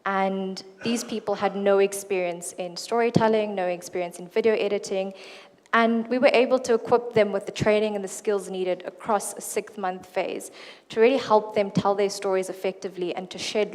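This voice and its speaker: A woman giving a keynote talk at a conference in a relaxed, conversational way. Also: mid-range voice, moderate pace. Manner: relaxed, with fillers and restarts. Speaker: keynote speaker. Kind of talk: conference presentation. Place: conference hall. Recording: reverberant hall.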